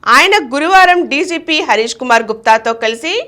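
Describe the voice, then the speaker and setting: A woman's voice: high-pitched, expressive, fairly quick, pushed and bright. A woman presenting scripted news headlines and reports as a newsreader.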